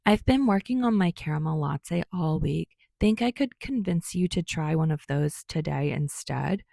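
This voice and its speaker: A woman's voice: sits low, lively intonation, moderate pace, vocal fry, warm tone, no room sound, clean studio sound, heavily acted. A woman voicing a cheerful, playful young male barista with a teasing, sing-song manner in a story reading.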